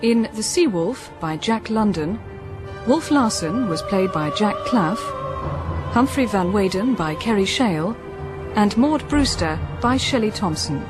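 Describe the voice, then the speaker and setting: A woman announcer with a medium-pitched voice reads scripted credits for a radio production. Dramatic music plays clearly behind her. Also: lively intonation, a little slow. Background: loud dramatic music.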